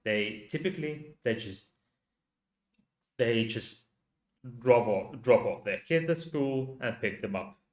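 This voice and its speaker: A man from Finland with a Finnish accent, a native Finnish speaker, hosting a livestream and talking in slow, halting rhetorical questions to his viewers. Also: medium pitch, echoey room. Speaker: channel host. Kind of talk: livestream commentary reading chat.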